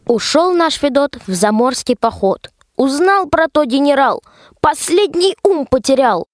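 A woman's voice: high voice, no echo, clean studio sound. A woman playing a folksy storyteller, narrating a radio fairy tale in rhymed verse.

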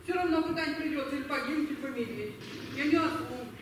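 A woman's voice: high voice, fast, natural voice. Woman acting a naive young girl soldier, very echoey. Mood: wistful, artless. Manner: artless, chatty, colloquial. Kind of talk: amateur war radio play acting. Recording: echoey far-off stage recording, noisy.

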